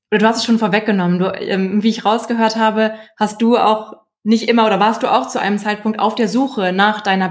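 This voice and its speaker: A woman hosting an interview, asking questions with lots of fillers and responding with gentle affirmation. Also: mid-range voice. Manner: warm, affirming, many fillers. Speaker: interview host. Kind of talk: casual interview talk.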